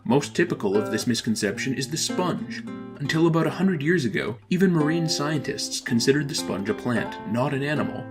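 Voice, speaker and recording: A man reading scripted educational narration in a measured, formal, explanatory manner. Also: a little high, lively intonation, over music.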